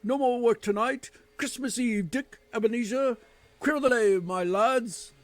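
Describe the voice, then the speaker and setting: A man's voice: extremely high pitch, big pitch swings, measured pace, warm tone, no room sound, pitched above the performer's own voice, heavily acted. A man voicing a jovial old merchant who calls out heartily in a storybook reading.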